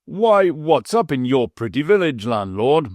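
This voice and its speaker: A man voicing a genteel traveller on horseback who asks easy, gentlemanly questions. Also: medium pitch, very animated, a little slow, no room sound. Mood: curious. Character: genteel male traveller on horseback, relaxed and curious.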